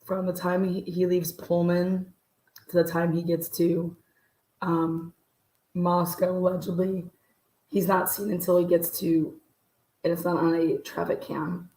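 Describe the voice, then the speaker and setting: A woman with a low, very raspy voice that sounds worn out from overuse, speaking slowly in an echoey room. She hosts a livestream commentary, halting and often clearing her throat. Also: flat delivery, warm tone. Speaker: host. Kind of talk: casual livestream case commentary.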